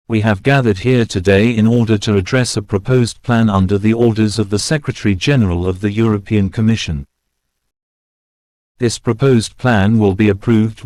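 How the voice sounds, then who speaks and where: Casting: male actor as grave political official, low voice, formal speechmaking for a war drama, dry recording. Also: darkish tone, dry recording, natural voice. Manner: formal, measured oratory. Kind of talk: scripted political address in mock war scenario.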